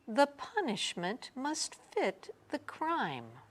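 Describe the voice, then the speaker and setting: A woman voicing a wise, firm storybook woman who speaks in a measured, deliberate way. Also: high voice, huge pitch swings, slight background noise, voice pushed up, heavily acted. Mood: calm, firm.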